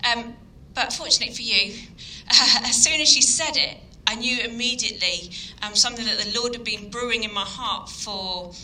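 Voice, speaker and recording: A woman from Yorkshire with a Yorkshire accent giving an earnest evening sermon, full of ums and pauses. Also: fairly high pitch, pushed and bright, rough recording. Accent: Yorkshire English. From Yorkshire, England. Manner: earnest, hesitant with frequent ums. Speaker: guest speaker.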